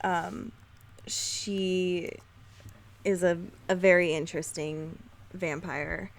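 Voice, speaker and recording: A woman's voice: on the low side, fairly even intonation, takes it slowly, creaky. A young woman co-hosting a podcast in casual banter, giggly and a little self-deprecating. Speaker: podcast co-host.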